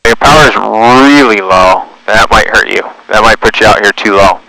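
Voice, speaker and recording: A man's voice: lowish voice, normal speed, gravelly, dry recording, low-quality recording. A man working as a flight instructor, giving direct corrections and pointed questions over a headset intercom. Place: small Cessna cockpit in flight. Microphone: aviation headset intercom. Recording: loud aircraft cockpit noise over headset.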